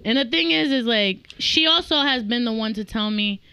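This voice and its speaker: Woman, 30s, podcast guest; bright, smooth voice, animated delivery, dry close-miked sound. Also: a little high, dry recording, low-quality recording. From Dominican Republic. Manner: animated, laughing, talks in quick bursts. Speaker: realtor guest. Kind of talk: casual podcast chat.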